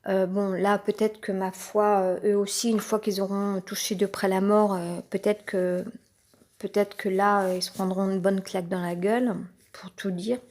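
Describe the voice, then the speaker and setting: A woman's voice: medium pitch, flat delivery, a little mellow. A woman with a head cold talks on her own, her voice a little congested. She rambles, stops often with fillers and restarts her sentences. The tone is personal and unscripted. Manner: halting, many fillers and restarts. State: has a cold.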